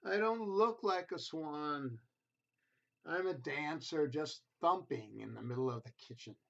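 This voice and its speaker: A man's voice: fairly high pitch, unhurried, gravelly, fairly bright tone. A man reading as a bossy big sister, muffled recording. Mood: discouraged. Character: bossy, self-doubting older sister, a young girl.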